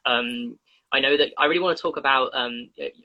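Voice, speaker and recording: A man hosting a live social media interview over a video call, rambling and excitable. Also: mid-range voice, brisk, lo-fi sound, background noise. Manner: excitable, rambling, laughs loudly. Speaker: host.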